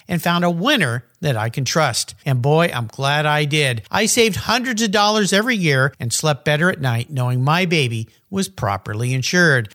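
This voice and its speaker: Man, podcast host, upbeat, bright voice, lively pitch. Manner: upbeat, enthusiastic. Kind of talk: podcast interview and ad reads.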